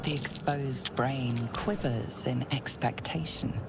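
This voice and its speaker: A woman narrating a fantasy video game in the second person as a hushed, ominous storyteller. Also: a bass voice, steady tone, slow pace, vocal fry, full character voice, poor audio. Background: loud music.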